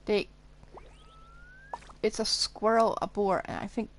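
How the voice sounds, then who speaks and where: A woman's voice: medium pitch, steady tone, slow pace. A woman giving casual live gameplay commentary, chattily thinking aloud to herself. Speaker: game streamer. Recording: clearly noisy background.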